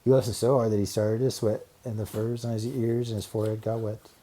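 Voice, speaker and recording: Expressive man reading a children's story. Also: on the low side, brisk. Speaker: storybook narrator. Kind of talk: expressive children's read-aloud in rhyme.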